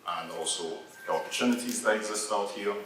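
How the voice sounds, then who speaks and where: A man's voice: lowish voice, brisk, noisy background. A young man hosting a panel, upbeat and encouraging, with frequent ums. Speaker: panel host. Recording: echoey hall, mic far off.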